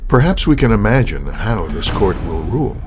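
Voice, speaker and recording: Animated male radio theater narrator. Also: on the low side, background noise. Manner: rhetorical, deliberate. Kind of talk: scripted dramatic narration.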